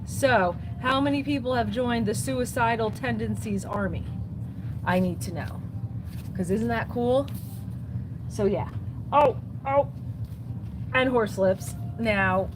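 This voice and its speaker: A woman's voice: on the high side, slow, long pauses. A woman who runs a record store, chatting casually on a livestream and reading out viewer comments in a chatty, upbeat way. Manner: chatty and upbeat, often saying "so yeah". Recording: noisy background.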